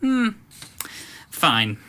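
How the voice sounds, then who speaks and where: Male actor as surly teenage cousin: very bright, forceful voice, blunt, sneering, foul-mouthed. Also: a little high, huge pitch swings, drawn-out words, smooth, heavily acted. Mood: wary, mocking. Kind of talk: audio drama dialogue.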